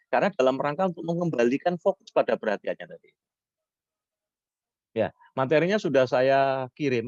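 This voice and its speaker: A hoarse man, lecturing on public speaking and answering questions, lifting his voice high when he stresses points. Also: darkish tone, no echo. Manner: rising pitch when stressing points, mixes Javanese words. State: hoarse from illness. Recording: dull lo-fi sound.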